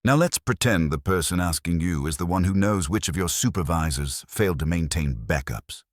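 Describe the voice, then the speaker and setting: Guy doing a cool needling detective, super low voice, wisecracking, audiobook story. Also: lively intonation, normal speed, dry recording, studio quality. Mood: cool, pointed. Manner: wisecracking, probing. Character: wry, cool, needling male private investigator.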